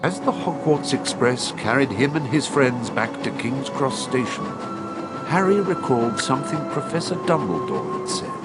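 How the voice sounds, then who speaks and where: A man's voice: mid-range voice, sing-song, normal speed, lightly acted. A man performing as a storybook narrator in a video game, reading scripted narration. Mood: storytelling. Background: loud music.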